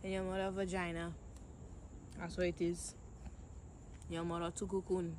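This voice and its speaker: A woman's voice: lowish voice, little pitch movement, moderate pace, darkish tone, a little hiss or hum. A woman with a Caribbean accent in casual conversation, blunt, indignant and sarcastic.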